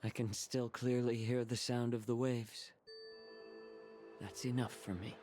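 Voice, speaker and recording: A man voicing a gentle, wry, wounded healer in a video game, calm and deliberate. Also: medium pitch, close to an everyday voice. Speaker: game character voice actor. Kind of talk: dramatic game voice acting. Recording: music behind the voice.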